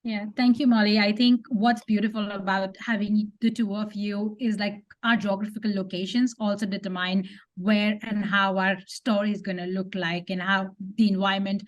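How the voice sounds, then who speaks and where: A woman's voice: mid-range voice, fairly even intonation, moderate pace, hardly any pauses, highs cut off. A woman co-hosting a podcast in a conversational, appreciative way. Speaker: podcast co-host.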